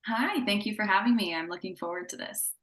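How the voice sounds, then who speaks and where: A woman's voice: medium pitch, brisk, a bit bright, roomy sound. A woman in her thirties, a psychotherapist who grew up in Indonesia, talking in a chatty, upbeat way with fillers in a casual interview. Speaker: psychotherapist guest.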